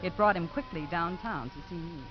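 A woman's voice: lowish voice, fairly quick, close to an everyday voice. A woman playing a composed, pointed child-welfare official with a probing, reproving manner in 1950s radio drama. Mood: composed narrating. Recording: dull old radio recording.

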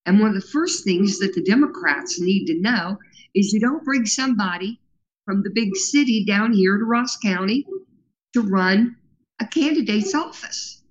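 A woman's voice: medium pitch, highs cut off. A woman being interviewed as a grassroots organizer, talking in an earnest, rambling way with many fillers and a powerful voice. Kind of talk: casual interview talk.

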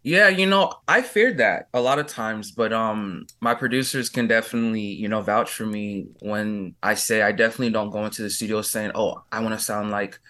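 A man's voice: fairly low pitch, a little fast, hardly any pauses, bright, forceful tone. An American man, a pop singer from California, chatting informally and effusively as an interview guest. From California, USA. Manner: gushing, informal, with frequent "like" and "you know". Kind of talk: casual interview chat.

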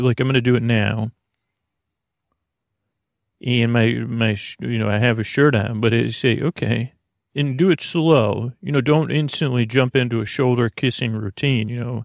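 A man speaks in a creaky, gravelly voice, recorded dry and close with no room sound. He hosts a bedtime show, wandering through his sentences in a lulling way, with frequent hesitations, stammers and restarts. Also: mid-range voice, dry recording. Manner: halting, hesitant, stammering with repeated false starts; lulling, soothing. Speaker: podcast host. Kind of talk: rambling, meandering bedtime monologue.

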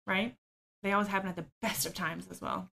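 A woman's voice: mid-range voice, very animated, a little fast, creaky, crowd noise. A woman, a podcast guest who talks openly about her own life, often with small laughs and ums. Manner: open and self-deprecating, laughs easily, frequent ums. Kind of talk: candid personal storytelling.

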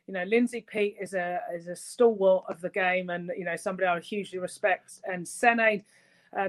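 A rugby pundit speaking keenly and casually as a guest, often repeating words. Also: mid-range voice. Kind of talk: casual interview.

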